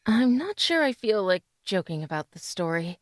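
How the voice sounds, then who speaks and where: A woman's voice: medium pitch, sing-song, normal speed, no echo, studio quality, close to an everyday voice. A woman playing a spirited, clever doctor's wife in an old-time radio style drama. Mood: uneasy. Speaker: actress.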